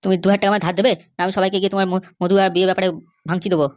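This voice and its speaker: A woman's voice: mid-range voice, flat delivery, dry recording, lightly acted. A woman voicing a scheming, pleading young runaway man in a comedy cartoon, with a pushy, wheedling manner. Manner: wheedling, pushy, fast-talking.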